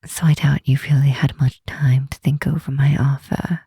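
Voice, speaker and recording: A woman voicing a protective, commanding yet tender Demon Queen in an ASMR roleplay, speaking softly and breathily, often whispering, in a soothing, gently insistent way. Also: extremely low pitch, little pitch movement, normal speed, husky, no room sound, clean studio sound, full character voice. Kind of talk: ASMR roleplay, intimate comforting monologue.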